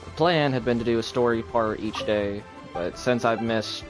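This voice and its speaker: A young adult man chatting casually on a live game stream in a rambling, halting, low-key way. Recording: game music behind voice. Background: video game music.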